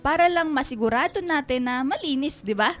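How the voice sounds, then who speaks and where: An actress playing a grieving, dutiful elder sister in a radio drama, earnest and pleading in manner. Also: female voice, high voice, expressive, fairly quick. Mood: tender, chatty.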